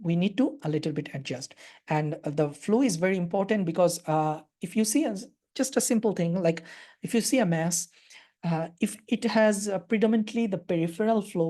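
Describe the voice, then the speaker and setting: A woman's voice: lowish voice, brisk, dark tone. A woman with a Bangladeshi accent giving a live webinar lecture and answering questions, talking with frequent hesitations and fillers. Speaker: radiology lecturer.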